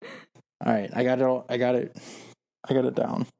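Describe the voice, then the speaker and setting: A man's voice: mid-range voice, level tone, normal speed, vocal fry, warm tone. A man co-hosting a podcast in casual banter, joking in a dry, self-deprecating way. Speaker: podcast co-host.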